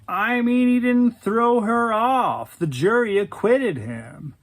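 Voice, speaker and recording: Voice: mid-range voice, animated, slow, pitched above the performer's own voice. A storyteller voicing a sheepish, evasive boyfriend who is hesitant, defensive and placating. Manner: hesitant, stammering, repeats himself.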